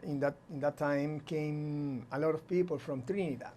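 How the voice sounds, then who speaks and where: A middle-aged man from Aruba, explaining things haltingly in English as an interview guest. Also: fairly high pitch, fairly even intonation, mellow, a little hiss or hum. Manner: halting, searching for English words. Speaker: visiting music teacher and guest.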